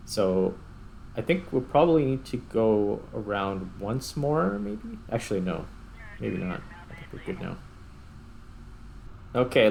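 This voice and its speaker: A man giving casual gameplay commentary, thinking aloud in short, halting remarks. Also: fairly low pitch, gravelly, slight background noise. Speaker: gaming host.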